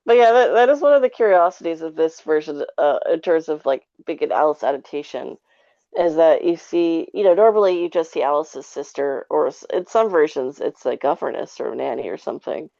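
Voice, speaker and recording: A woman's voice: sits low, expressive, brisk, vocal fry, mellow, no room sound, highs cut off. A woman giving her take in a casual, rambling review chat with an informal way of talking. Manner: rambling, informal, frequent 'like'. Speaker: podcast guest reviewer.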